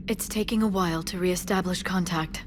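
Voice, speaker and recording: A woman acting a scholarly alien information broker in a video game. Her voice is fairly low, measured and articulate, composed but earnest. There is clear ambient noise behind her. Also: fairly even intonation, close to an everyday voice. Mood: reflective, measured. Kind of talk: video game character dialogue.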